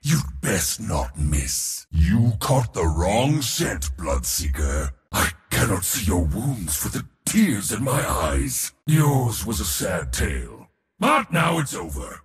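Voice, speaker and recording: An actor playing a merciless, boastful god of war in a video game, declaiming imperious taunts in a theatrical way. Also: male voice, fairly low pitch, exaggerated voice. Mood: grim finality. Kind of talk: video game character voice lines.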